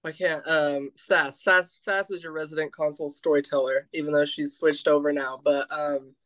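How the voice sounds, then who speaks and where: A woman's voice: deep voice, fairly even intonation, normal speed, a bit bright, no room sound. A woman guest introducing herself in a casual podcast, hesitant with many ums. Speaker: podcast guest, content creator. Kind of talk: casual chat.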